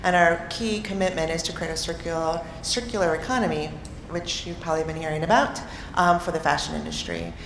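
Woman panelist, businesslike, lowish voice, long hall reverb. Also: fairly quick, vocal fry, noisy background. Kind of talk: panel discussion.

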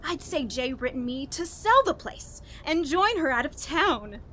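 A woman's voice: high-pitched, big pitch swings, a little slow, a bit bright, exaggerated voice. An actress playing a scheming, guilt-ridden murderous woman who confesses and argues in a radio horror drama. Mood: gloating, scheming.

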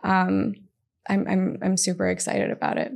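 A woman's voice: mid-range voice, big pitch swings, normal speed, gravelly, darkish tone. A woman in her thirties, born in Israel, talking enthusiastically as a guest chiropractor in a small studio at a desk mic, with many fillers and restarts. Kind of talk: casual interview. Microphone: desk mic on an arm.

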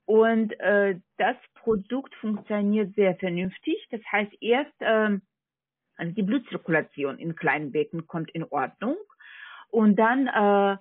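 A woman telemarketer with a foreign accent speaks through a thin telephone line. Her voice is husky and sits at an average pitch. She works through her sales pitch politely but haltingly, often repeating herself as a non-native speaker. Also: no echo. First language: not German. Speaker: telemarketing call center agent. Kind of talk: scripted phone sales pitch. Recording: tinny, narrowband phone line.

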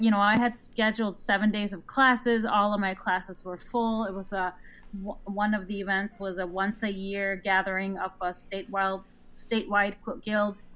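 A woman from Iceland, a guest being interviewed, talking casually with frequent restarts and a quick laugh. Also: mid-range voice, fairly bright tone, low-quality recording. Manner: chatty, quick to laugh, restarts her sentences. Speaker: guest quilt designer.

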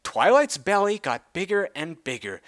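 A man's voice: high-pitched, very animated, normal speed, a bit bright, no echo, clean studio sound. A man giving a theatrical, mock-serious dramatic reading of a storybook-style tale. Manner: theatrical, mock-serious delivery of clumsy prose. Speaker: reader.

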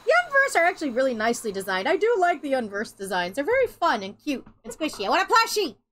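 A woman's voice: high-pitched, wildly swooping pitch, fast talker, a bit bright, slight background noise. A woman streamer giving casual, playful game commentary, silly and exclamatory, often repeating her phrases. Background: game music.

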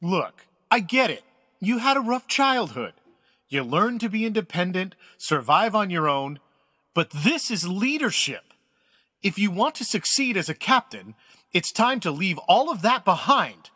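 An actor playing a wise, secretive old space admiral in an audio drama, speaking as a measured, formal, earnest mentor. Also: male voice, sits high, big pitch swings, sharp tone, slightly rough, dry recording, studio quality, full character voice.

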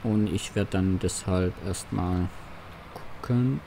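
A man's voice: deep voice, takes it slowly, vocal fry, noisy background. A man roleplaying a farmer in a farming game, talking casually and doing business over the phone. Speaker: farm sim roleplay player. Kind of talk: roleplay gameplay commentary.

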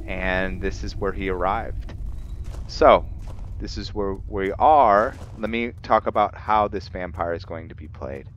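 A man hosting a let's play with casual, explanatory gameplay commentary, often putting questions to his viewers. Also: fairly low pitch. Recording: dull lo-fi mic, noisy background.

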